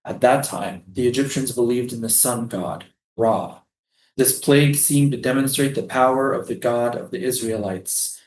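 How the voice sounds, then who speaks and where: A man's voice: mid-range voice, moderate pace, darkish tone, roomy sound, lightly acted, rough recording. A man in a staged play reading, acting a doomed, solemn prisoner who is a loving, poetic father.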